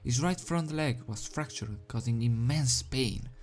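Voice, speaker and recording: A man's voice: mid-range voice, a little slow. A man reading a story aloud as an amateur narrator, dramatic in delivery and sometimes stumbling over the script. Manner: stumbles over words and rereads phrases. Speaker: amateur fan fiction reader.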